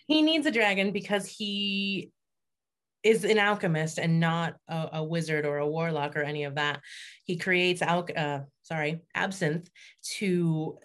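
Very low-voiced woman, thoughtful and articulate. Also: steady tone, a little slow, fairly bright tone. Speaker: fiction writer, interview guest. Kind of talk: conversational explanation in an interview.

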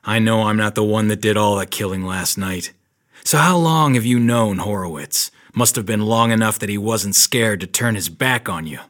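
An actor playing a world-weary, dry private detective in a 1930s noir audio drama, terse and tough with a sardonic edge. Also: male voice, fairly low pitch, moderate pace, fairly bright tone, natural voice. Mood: accusing, hard.

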